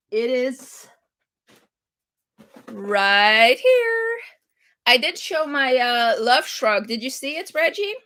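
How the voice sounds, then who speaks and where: Slow-talking higher-pitched woman, playful chat. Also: fairly bright tone. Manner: unhurried, halting, searching for English words; playful, giggly. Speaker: craft live-stream host. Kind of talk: casual live-stream chat.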